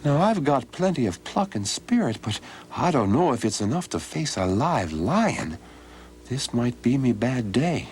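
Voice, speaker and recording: A man's voice: mid-range voice, sing-song, unhurried, darkish tone, slight background noise, voice pushed up. A man voicing a cheeky, clever young farmhand with a sly, innocent-sounding manner. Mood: wondering.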